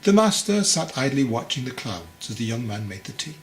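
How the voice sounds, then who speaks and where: A man's voice: medium pitch, big pitch swings, a little fast. A man reading his own book aloud as scripted story narration.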